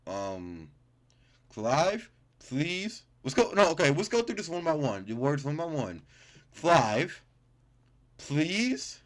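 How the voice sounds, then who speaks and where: A man's voice: medium pitch, a bit bright. A man reading game text aloud as a gaming YouTuber, stumbling and correcting himself, with casual reactions. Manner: stumbling, self-correcting reader.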